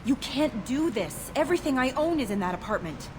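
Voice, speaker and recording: An actress playing an indignant, desperate civilian in a game, protesting in an agitated way. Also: female voice, sits high, very animated, a little fast. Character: indignant, desperate woman locked out of home. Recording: loud background noise.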